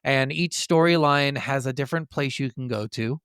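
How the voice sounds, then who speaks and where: A man's voice: mid-range voice, normal speed, fairly bright tone, clear, resonant tone, dry recording, studio quality. A man co-hosting a podcast, chatting casually about games in an informal way and swearing freely. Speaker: podcast co-host. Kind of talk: casual gaming chat.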